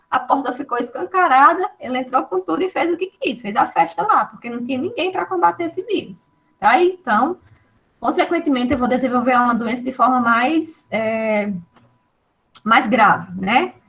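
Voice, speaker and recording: A woman teaches an online class with a fairly high voice. She explains step by step and keeps checking with her students. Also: a bit bright, low-quality recording. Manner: explains patiently with many checking tags like "né" and "tá".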